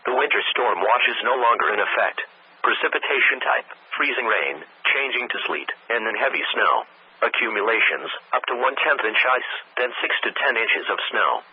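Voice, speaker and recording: A man's voice: medium pitch, animated, slightly rough. Tinny synthetic male weather radio announcer. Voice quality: synthetic text-to-speech voice. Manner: measured, impersonal, segmented phrasing. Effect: weather radio broadcast. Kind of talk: scripted weather warning bulletin.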